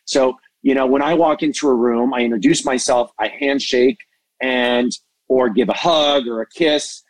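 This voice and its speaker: Expressive, passionate man in a dry recording. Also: mid-range voice, moderate pace, dry recording, low-quality recording. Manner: expressive, passionate, self-described hyper. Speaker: interview guest, entertainment media executive and mentor. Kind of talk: animated interview conversation.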